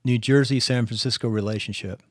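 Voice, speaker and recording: A man's voice: medium pitch, fairly even intonation, brisk, no room sound, studio quality. A man hosting an interview, asking questions in a chatty way and often breaking in. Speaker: podcast host.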